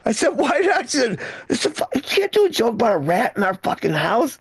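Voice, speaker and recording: A man's voice: very high voice, huge pitch swings, brisk, slightly rough, dry recording. A man from Michigan performing stand-up comedy in a conversational, profane way, often repeating and restarting phrases. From Michigan, United States.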